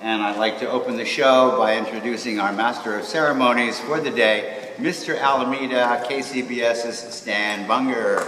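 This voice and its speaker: Male event host, live welcome speech, echoey hall, distant mic, medium pitch. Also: fairly even intonation, moderate pace, few pauses. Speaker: society president, event opener. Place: auditorium, speaking to a gathering. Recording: echoey hall from far off, faint noise.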